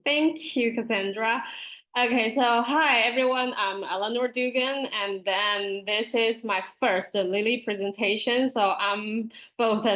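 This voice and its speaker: A middle-aged woman with a Taiwanese accent gives an informal online presentation, speaking haltingly and often repeating her words. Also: mid-range voice, a bit bright, rough recording. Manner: halting, with frequent repeated words and nervous laughter. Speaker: presenter, school librarian and author. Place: online video call.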